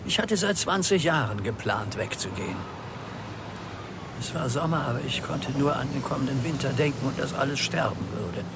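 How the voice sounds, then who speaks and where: An actor playing a mild, evasive, dignified undertaker of about sixty in a radio play, speaking with formal, unctuous funeral-parlour politeness. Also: male voice, medium pitch, animated, normal speed, very noisy, close to an everyday voice. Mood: guarded, weary.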